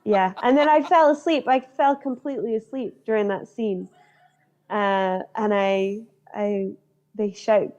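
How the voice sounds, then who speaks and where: A woman's voice: medium pitch, unhurried, darkish tone. Chatty woman telling set stories, lo-fi. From Northern Ireland. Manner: chatty, storytelling with fillers. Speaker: guest actor. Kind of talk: casual interview chat. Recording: dull lo-fi sound.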